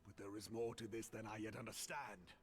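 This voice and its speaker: A man voicing a gruff, furious older male warrior with a gravelly voice. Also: on the low side, fairly even intonation, brisk, close to an everyday voice. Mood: urgent. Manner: shouting in rage, then urging.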